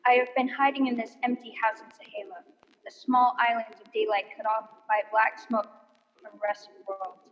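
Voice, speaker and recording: A weary, haunted woman professor narrates as a lone survivor, as an actress plays her. Her voice is fairly high, with long hall reverb and slightly dull sound. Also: steady tone, fairly quick, lightly acted. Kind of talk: dramatic monologue in radio play.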